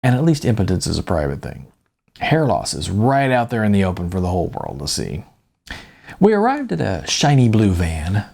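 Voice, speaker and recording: A middle-aged man reads a first-person story for an audiobook. His voice is on the low side and husky, with plenty of fry at the edges. The delivery is wry and storytelling in manner. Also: wildly swooping pitch, normal speed, a little mellow. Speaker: author reading own audiobook.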